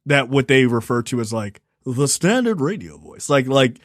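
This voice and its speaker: A man's voice: medium pitch, big pitch swings, moderate pace, no echo. A man from Baltimore talks at length on a podcast, chatty and emphatic, with frequent laughs. His voice sits in a mid range. The sound is dry and close to the mic. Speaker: podcast co-host. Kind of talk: casual chat.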